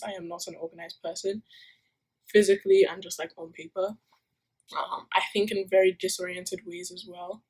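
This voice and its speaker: Young woman, from Nigeria, student vlogger, slightly low, breathy, rambling with fillers, phone taped to the wall. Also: poor audio. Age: young adult. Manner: rambling, many fillers and self-corrections. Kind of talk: casual vlog chat. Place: her room, indoors.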